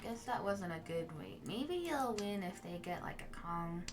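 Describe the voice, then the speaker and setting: A woman whose first language is Mandarin, chatting casually with viewers while she plays a game as a streamer, in a relaxed and musing way. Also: mid-range voice, moderate pace, background noise. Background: game music.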